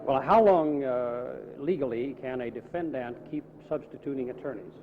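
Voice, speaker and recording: A man's voice: medium pitch, moderate pace. A man with an American accent working as a news reporter, putting questions in a press interview. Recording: noisy lo-fi archival newsreel sound.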